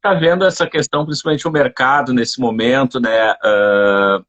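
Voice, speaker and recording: A man hosting a relaxed online live interview, talking informally with lots of fillers. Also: medium pitch, normal speed. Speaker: host.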